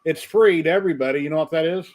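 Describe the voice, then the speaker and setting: A man's voice: on the high side, very fast. Chatty American man around sixty. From United States. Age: about 60. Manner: chatty, gives directions in a relaxed storytelling way. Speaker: retired maker, chat regular. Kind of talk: casual group chat on a video call.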